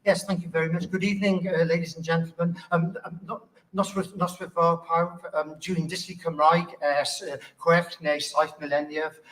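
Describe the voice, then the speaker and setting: A man's voice: on the high side, level tone, normal speed, fairly bright tone. A man from Wales speaking politely and formally as a candidate at a political hustings. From Pembrokeshire, Wales. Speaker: election candidate, county councillor. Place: live public hustings event. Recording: somewhat distant from the mic.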